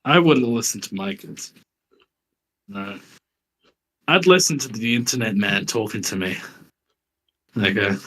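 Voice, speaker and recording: A man's voice: on the low side, unhurried, long pauses, bright, forceful tone, husky, rough recording. A man streaming casual reaction chat, jokey and sarcastic, with plenty of swearing.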